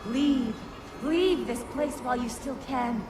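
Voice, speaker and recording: An actress voicing a menacing, seductive corrupted villainess in a video game, with a slow, taunting and ominous delivery. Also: female voice, high voice, very noisy. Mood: threatening. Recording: echoing reverb under loud game music.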